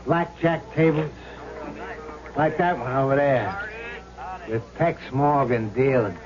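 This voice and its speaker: A man's voice: medium pitch, slow pace, close to an everyday voice. An actor playing the vengeful, wisecracking ghost of a swindler in a radio drama, with cocky, slangy tough-guy talk. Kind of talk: vintage radio drama acting. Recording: muffled old radio recording, crowd murmur behind.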